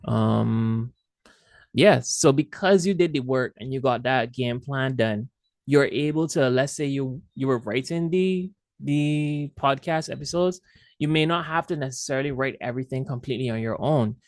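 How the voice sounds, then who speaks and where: A man's voice: mid-range voice, normal speed, no echo. A man teaching an online class as instructor, speaking casually and loosely with fillers and restarts, heard over a video call.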